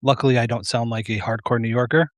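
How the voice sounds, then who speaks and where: A man's voice: medium pitch, fairly even intonation, quick pace, no room sound, studio quality. A man hosting a casual live interview on a video call, rambling and self-deprecating with many fillers. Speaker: host.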